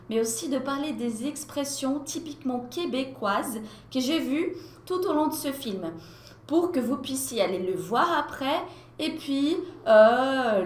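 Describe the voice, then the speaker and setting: A woman's voice: a little high, reverberant, a little hiss or hum. A young woman teaching French in a livestream, talking animatedly and mixing two languages, on a headset mic in a small room at home. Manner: animated, gestures a lot, mixes two languages. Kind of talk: livestream lesson, casual and expressive.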